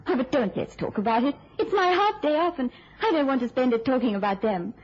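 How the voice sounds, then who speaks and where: Vintage radio play, muffled: earnest young nurse, emotive, highish and swooping. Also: female voice, expressive, brisk. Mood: weary, confiding. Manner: earnest, emotive, crisp phrasing. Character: caring young working woman, a nurse, earnest and protective. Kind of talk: mid-century radio drama acting. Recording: muffled old-time radio recording.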